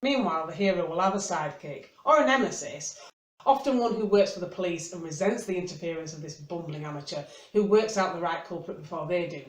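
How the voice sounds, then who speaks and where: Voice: mid-range voice, expressive, fast. A book reviewer giving wry, scripted commentary in a dry, tongue-in-cheek manner. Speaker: book reviewer and video host. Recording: roomy, echoing sound.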